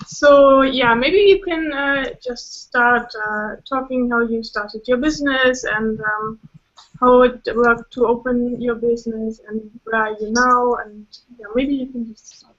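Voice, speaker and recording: A woman's voice: fairly high pitch, steady tone, slow pace, a bit bright. A woman in her twenties from Germany moderating a group video chat, speaking hesitantly with many fillers. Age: 20s. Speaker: moderator and intern. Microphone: video call. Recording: muffled video call audio.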